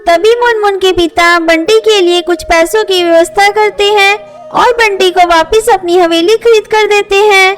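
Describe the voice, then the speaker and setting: Very high-pitched woman storyteller. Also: fairly even intonation, moderate pace. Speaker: narrator. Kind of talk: scripted children's story narration. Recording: faint music under voice. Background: faint music.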